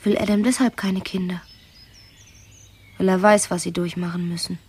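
Husky, intimate troubled wife. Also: female voice, fairly low pitch, level tone, moderate pace, darkish tone. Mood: quietly defiant. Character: troubled, determined wife, intimate and quietly defiant. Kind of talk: German radio play acting.

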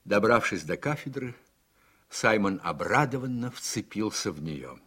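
A man narrating a radio play in a measured, scripted storytelling manner. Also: fairly low pitch, animated, fairly bright tone, breathy edge.

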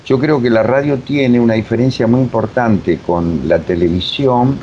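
A man's voice: normal speed, warm tone. A man in his seventies from Uruguay with a Rioplatense accent, reminiscing in a rambling, digressive interview style. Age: 70s. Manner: rambling, digressive, many filler phrases. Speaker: interview guest, voice technique teacher. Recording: dull, rumbly, faintly noisy recording.

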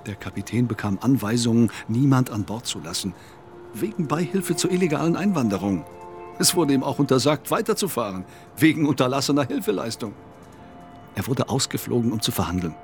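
An actor playing a sober, troubled ship passenger in a radio drama, matter-of-fact and insistent. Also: male voice, medium pitch, animated, a little fast, faint music behind, natural voice. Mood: grave, subdued. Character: sober, troubled male ship passenger pleading for stranded refugees.

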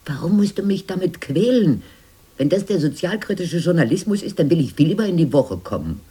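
An aging fairy-tale sister in a radio play. Her voice is dark and heavily roughened, swinging widely in pitch. She is plaintive and insistent, nursing old grievances as she reminisces. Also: sing-song, brisk, full character voice. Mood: aggrieved, insistent. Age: older. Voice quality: husky, rough. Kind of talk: radio drama acting.

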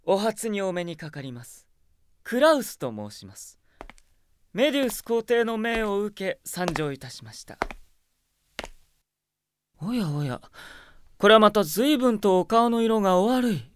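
A man's voice: high voice, sing-song, unhurried, long pauses, slight background noise. An actor playing a smug young male sorcerer with a mockingly polite, theatrical manner in a radio drama.

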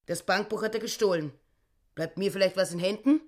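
An actress playing a grasping, self-righteous, narrow-minded housewife who speaks in aggrieved, clipped phrases in a radio drama. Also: female voice, mid-range voice, a little fast, close to an everyday voice. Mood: aggrieved, bitter.

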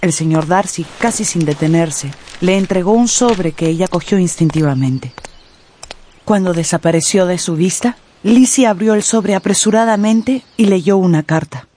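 A woman narrating a radio novel in an expressive, literary, scripted storytelling style. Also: fairly low pitch, normal speed.

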